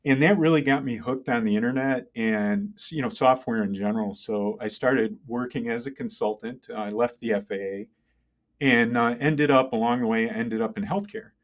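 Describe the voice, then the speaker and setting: A middle-aged man answers as a guest in a conversational interview. His voice sits at a medium pitch. He talks haltingly, thinking aloud as an engineer and entrepreneur would when explaining, and often checks that the listener follows. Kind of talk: conversational interview, telling career story.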